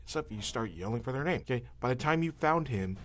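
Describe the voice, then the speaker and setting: A man hosting a podcast in casual chat, with a halting, meandering way of talking. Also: medium pitch, expressive, fairly bright tone.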